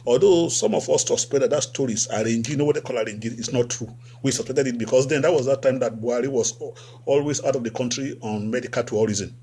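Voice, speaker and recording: A man's voice: creaky, slightly rough. A Nigerian man hosting a satirical talk show, commenting with sarcasm and repeating phrases for effect. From West Africa. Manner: sarcastic, repeats phrases, animated swings up in pitch. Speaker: host.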